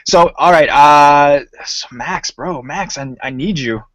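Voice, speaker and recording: A man runs a casual voice-chat storytelling session, slangy and easygoing, and keeps calling the guests bro. His voice sits a little high. The sound is close and dry, with no room echo. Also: takes it slowly. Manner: relaxed and slangy, calls people bro. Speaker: host.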